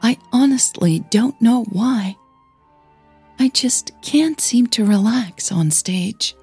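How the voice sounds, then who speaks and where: A woman's voice: fairly high pitch, lively intonation, slow pace, mellow, husky, faint music behind, pitched above the performer's own voice, full character voice. A woman voicing a nervous, flustered female stage performer trying to sound casual in an audio drama. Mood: exasperated. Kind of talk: audio drama storytelling dialogue.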